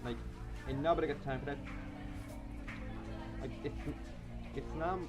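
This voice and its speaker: Visual novel best-friend schoolgirl, voiced by a man: casual, breezy teaser, music clearly underneath. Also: mid-range voice, a little slow, lightly acted. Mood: teasing. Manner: casual, peppered with "like". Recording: music behind.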